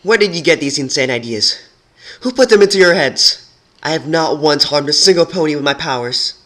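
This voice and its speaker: A man's voice: fairly high pitch, animated, a little fast, pushed and bright, voice pushed up. A man voicing an anguished, powerful female unicorn ruler in a storybook reading, with indignant, impassioned pleading. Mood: furious, desperate.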